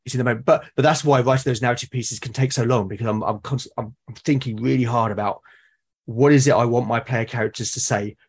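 A man's voice: mid-range voice, fairly even intonation, fast talker, slightly rough. A man in a conversational interview as a podcast guest, explaining his creative process and often repeating words as he thinks aloud. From Britain.

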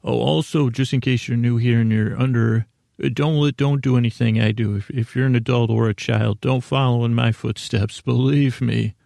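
A man hosting a podcast in a meandering, halting, self-deprecating comedic monologue, with a gravelly, creaky voice. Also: medium pitch, fairly even intonation, mellow, dry recording, studio quality. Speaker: podcast host.

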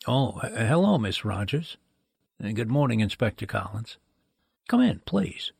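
An actor playing a measured, formal medical examiner who explains precisely, in a 1940s-style radio drama. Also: male voice, on the low side, big pitch swings, gravelly, darkish tone, slightly rough, dry recording, clean studio sound, exaggerated voice. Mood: grave, courteous.

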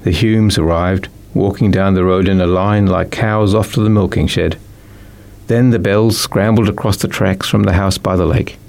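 A man's voice: low-pitched, fairly even intonation, moderate pace, vocal fry, a little mellow. A man with a New Zealand accent reading a children's story as scripted narration. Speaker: author reading own book.

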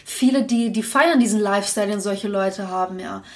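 A woman's voice: medium pitch, a little fast. A young woman co-hosting a podcast, speaking candidly in long reflective runs with frequent restarts. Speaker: podcast co-host. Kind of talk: casual podcast conversation.

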